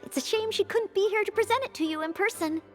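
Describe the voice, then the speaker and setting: A woman's voice: extremely high pitch, quick pace. A woman playing an eager, polite, dutiful young maid in a video game, speaking with earnest courtesy. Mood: polite, hopeful. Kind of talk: video game character dialogue. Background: faint music.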